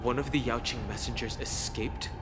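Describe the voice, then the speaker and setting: Man voicing polite male subordinate, medium pitch, formal, earnest, fantasy game dialogue, background music. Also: fairly even intonation, moderate pace, lightly acted. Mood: serious, inquiring. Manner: formal, respectful. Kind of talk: video game voice acting.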